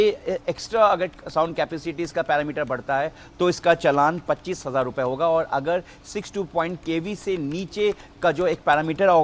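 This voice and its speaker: A man giving an unscripted live news report, explaining in a halting, repetitive way. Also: on the high side.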